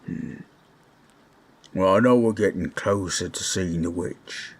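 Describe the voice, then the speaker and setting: A man voicing a kindly, bashful orc companion in a roleplay audio drama, talking in a halting, folksy, gently awkward way. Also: low voice, full character voice. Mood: soft, reassuring. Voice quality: husky.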